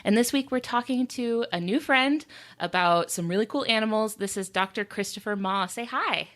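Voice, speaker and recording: A woman's voice: medium pitch, normal speed, fairly bright tone. Woman podcast host, upbeat, eager interviewer. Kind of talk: casual interview hosting.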